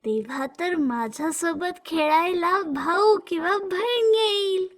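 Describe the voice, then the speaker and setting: Cartoon little daughter, voiced by a woman: timid, devoted, very high-pitched. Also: slow. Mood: delighted. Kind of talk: animated moral story dialogue.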